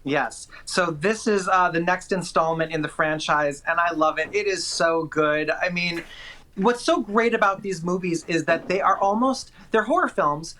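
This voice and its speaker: A man's voice: high voice, normal speed, pushed and bright. A man working as a film critic, giving chatty, enthusiastic and opinionated movie reviews with emphatic delivery.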